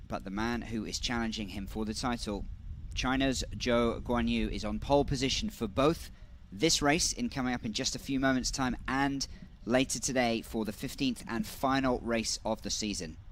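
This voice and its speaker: A man giving live motorsport commentary as the race commentator, brisk and informative, leaning into the key moments. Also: mid-range voice, fairly bright tone, slight background noise.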